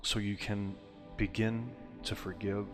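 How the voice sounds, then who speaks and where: A man narrating a guided meditation in a soothing, unhurried way, with deliberate phrasing and pauses. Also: on the low side, little pitch movement. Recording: long reverb on the voice. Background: music.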